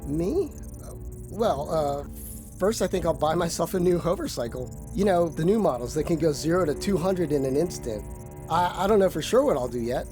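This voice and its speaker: A breezy spaceship co-pilot, acted by a man, with a somewhat high voice and a slightly hesitant streak. Music plays clearly behind this sci-fi radio drama performance. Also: lively intonation, normal speed, natural voice. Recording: music under the voice.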